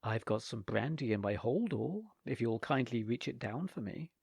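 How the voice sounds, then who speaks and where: A man's voice: medium pitch, animated, normal speed, gravelly, a little mellow, husky, dry recording, clean studio sound, voice pushed down. A man playing a cool, wry lady passenger. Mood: cool amused. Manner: cool, dryly amused. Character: composed, wry woman train passenger.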